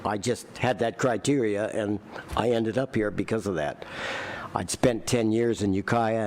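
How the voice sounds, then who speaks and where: A man who already serves on the council talks in a folksy, plainspoken way at a candidate forum, often starting a phrase twice. His voice sits a little low. Faint room ambience is behind him. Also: a little mellow, a little hiss or hum. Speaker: city council member running again.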